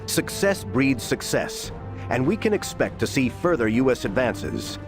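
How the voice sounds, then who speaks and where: A man narrating a World War II game briefing in a formal, measured, emphatic manner. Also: mid-range voice, big pitch swings. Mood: grave, formal. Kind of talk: scripted wartime campaign narration. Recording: loud music over the voice.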